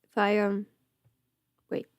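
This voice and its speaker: A woman's voice: slow pace, no echo. A woman who is sick, chatting casually on a livestream as host, thinking aloud and interrupting herself. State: sick at home with covid. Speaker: livestream host, audio creator. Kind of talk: casual rambling livestream chat. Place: at home.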